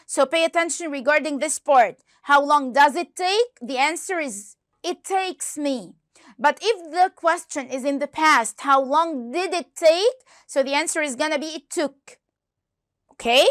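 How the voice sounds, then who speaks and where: A woman teaching an online English lesson to young students, lively and repeating each question and answer aloud. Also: high voice, unhurried, no echo, studio quality. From Egypt.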